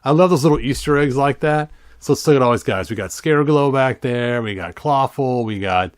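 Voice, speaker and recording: Male storytime host, average pitch, casual and enthusiastic, playful asides, dry room-free recording. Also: a little fast, dry recording. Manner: playful, enthusiastic fan asides with vocal sound effects. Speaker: YouTube host reading a children's picture book aloud. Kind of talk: relaxed chatty read-through with commentary.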